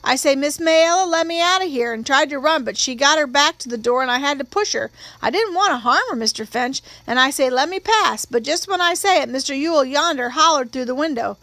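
Woman voicing a frightened, polite witness man, pitched high. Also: lively intonation, sharp tone, dry recording, exaggerated voice. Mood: anxious, earnest. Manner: deferential, halting, earnest. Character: frightened, polite young Southern working man on trial.

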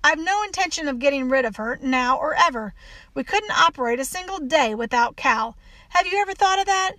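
A woman playing a firm, measured father reasoning with a child in an audiobook story. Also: sits high, lively intonation, bright, forceful tone, no room sound. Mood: flinty, firm.

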